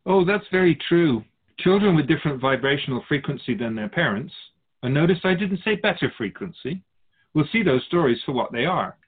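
A man's voice: fairly high pitch, big pitch swings, fairly quick, slightly rough, narrow, tinny audio. A man playing a wise, wry otherworldly spirit guide in a radio drama, teaching in formal, didactic phrases. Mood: earnest, instructive. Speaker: spirit teacher answering questions. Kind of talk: scripted radio drama dialogue, expository.

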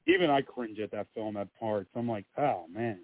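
A man co-hosting a casual podcast chat, rambling and laughing often, swearing freely, his voice going hoarse. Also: on the low side, normal speed, no room sound. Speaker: co-host. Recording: tinny phone-like line, dry.

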